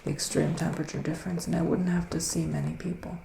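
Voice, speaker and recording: A man playing a gentle, gloomy psychic witch in an ASMR tarot roleplay, speaking softly in a hushed, unhurried way that often slips into whispers. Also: on the high side, flat delivery. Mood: wistful, dark. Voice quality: soft, breathy, often slipping into whispers. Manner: hushed, unhurried, musing, with dramatic pauses. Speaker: ASMR performer, tarot reader. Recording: roomy sound with faint background noise.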